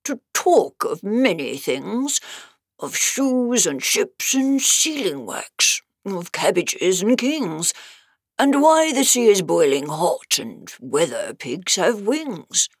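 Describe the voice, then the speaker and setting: An oily old walrus out of a storybook, acted by a woman, speaks very slowly and grandly. The voice is husky and swoops widely, with a theatrical, mock-genteel air. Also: mid-range voice, very animated, no room sound, full character voice. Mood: grandly orating. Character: pompous, slow, oily old male walrus. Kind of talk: storybook verse character reading.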